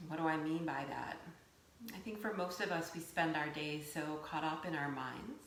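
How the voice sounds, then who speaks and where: Woman, low pitch, yoga nidra guide, gentle and unhurried, roomy echo, faint background noise. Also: vocal fry. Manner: soothing, unhurried with pauses. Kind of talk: guided meditation, gentle spoken instruction.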